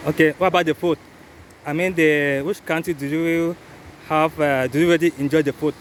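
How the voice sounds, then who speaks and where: A man's voice: a little high, fairly even intonation, normal speed, slight background noise. Man asking short interview questions. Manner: short questions and brief acknowledgements.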